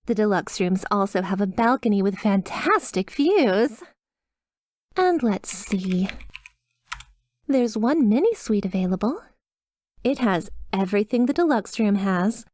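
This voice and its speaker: A woman's voice: mid-range voice, darkish tone, no room sound, studio quality, lightly acted. A woman voicing a chipper, sweetly reassuring demon resort receptionist with a polished, over-polite customer service voice in a scripted ASMR roleplay.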